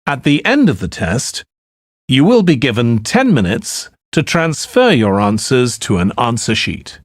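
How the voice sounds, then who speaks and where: A man reading scripted test instructions as an exam announcer, in a formal and deliberate manner. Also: medium pitch, huge pitch swings, dry recording, studio quality.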